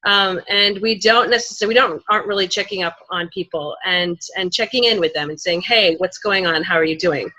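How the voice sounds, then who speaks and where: Bright-voiced woman panelist. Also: fairly low pitch, a little fast, few pauses, poor audio. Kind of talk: spontaneous panel discussion.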